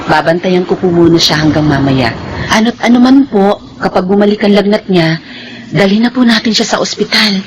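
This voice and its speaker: A woman plays a dependable Filipina caregiver in a radio drama. Her mid-pitched voice is polite and practical, with clear noise behind it. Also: brisk, natural voice. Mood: reassuring. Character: caring, dependable young village caregiver. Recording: noisy radio drama recording.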